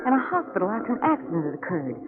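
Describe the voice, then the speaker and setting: A woman's voice: medium pitch, sing-song, racing, close to an everyday voice. An actress playing an alert, uneasy lady companion to a sleuth in a 1940s radio drama, earnest and dramatic. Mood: uneasy, curious. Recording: muffled, noisy vintage radio.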